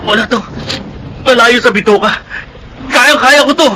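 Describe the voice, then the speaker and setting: An actor playing a brash, joking soldier with a cheeky, animated manner and a Filipino accent in a radio drama. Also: male voice, very high voice, normal speed, noisy background. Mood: strained, urgent. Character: brash, joking soldier buddy, ten years in service.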